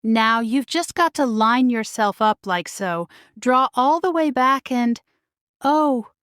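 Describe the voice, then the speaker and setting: A woman's voice: on the high side, expressive, takes it slowly, fairly bright tone, clean tone, no echo, clean studio sound, voice pushed up. A woman voicing a spirited, eager young princess in a children's storybook, bold, informal and exclamatory. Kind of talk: children's storybook character reading.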